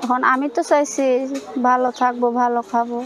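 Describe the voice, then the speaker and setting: A young woman of about eighteen with a colloquial Bangladeshi accent, giving plain, informal answers in a soft, weak-sounding voice. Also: high voice, little pitch movement, a little fast. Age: young adult, about 18. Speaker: interviewee.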